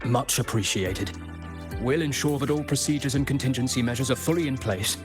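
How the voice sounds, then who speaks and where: A composed male official from a video game , voiced by an actor. He is formal and efficient in a medium-pitched voice. Music plays clearly behind him. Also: fairly quick, natural voice. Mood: composed, businesslike. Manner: formal, businesslike.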